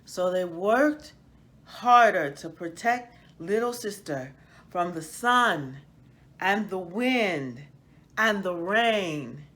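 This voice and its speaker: A woman reading a children's picture book aloud as a storyteller, in a deliberate, expressive manner. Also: fairly low pitch. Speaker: read-aloud host, storyteller.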